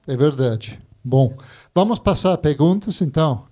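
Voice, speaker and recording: Give me a male panel moderator with a slightly high voice, brisk and matter-of-fact. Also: animated, mellow.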